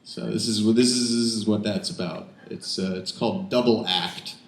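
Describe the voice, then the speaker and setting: A man's voice: fairly low pitch, steady tone, moderate pace. A man performing spoken word poetry at an open mic, emphatic when reciting, with self-deprecating, rambling asides. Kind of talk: spoken word poetry reading with casual banter between pieces. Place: indoors, small cafe open mic. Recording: roomy live cafe recording with background noise.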